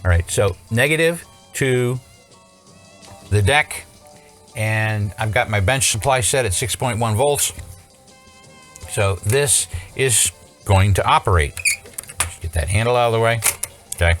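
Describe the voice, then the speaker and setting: A man's voice: lowish voice, unhurried, long pauses, fairly bright tone, faint music behind. A man presenting an unscripted hands-on electronics tutorial in an informal, explanatory way with wry asides.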